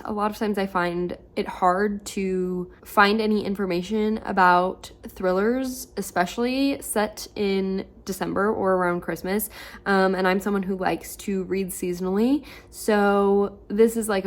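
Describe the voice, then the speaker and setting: A woman in her twenties chatting casually at home about books, a little hoarse from losing her voice and filling her talk with "like". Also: medium pitch. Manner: chatty, lots of "like" fillers. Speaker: book vlogger. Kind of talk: casual reading vlog.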